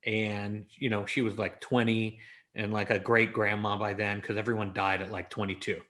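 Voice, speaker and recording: A man's voice: fairly low pitch, little pitch movement, moderate pace, fairly bright tone. A man from Los Angeles with an American accent, talking casually and informally as an interview guest, in a storytelling way. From Los Angeles, United States.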